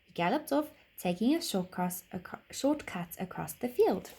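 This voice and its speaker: A woman narrating a children's story expressively, like a teacher reading aloud, with dramatic, deliberate phrasing. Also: mid-range voice, expressive, creaky, warm tone, husky.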